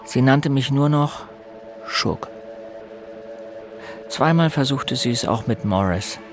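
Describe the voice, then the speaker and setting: A man's voice: medium pitch, animated, slow, soft background music. An actor narrating in the first person as a brooding man recalling boyhood, scripted for a German audio drama.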